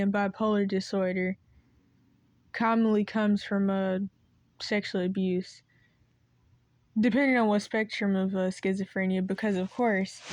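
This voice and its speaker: A woman of ordinary pitch rambles to the camera with no script, stopping to wonder where her point went and doubling back. Her voice is cracking and strained. The sound is close and dry, with no room around it. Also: a little mellow, no echo. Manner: meandering, loses her train of thought and restarts. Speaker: video creator. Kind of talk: rambling unscripted monologue.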